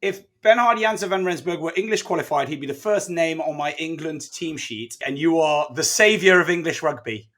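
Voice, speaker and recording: A man with a fairly high voice puts measured questions to a guest. He speaks as a podcast co-host in an interview. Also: a bit bright.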